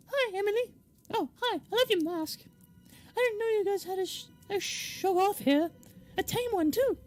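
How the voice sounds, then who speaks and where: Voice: extremely high pitch, animated, moderate pace, faint music behind, pitched above the performer's own voice. A game player voicing a chirpy, morbidly whimsical young woman who rambles politely. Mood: delighted.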